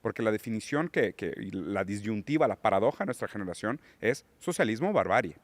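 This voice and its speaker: A man's voice: lowish voice, big pitch swings, fairly quick, no echo. Lecturing man explaining political theory. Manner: lecturing, theoretical, with rising emphasis. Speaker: podcast guest. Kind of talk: political conversation.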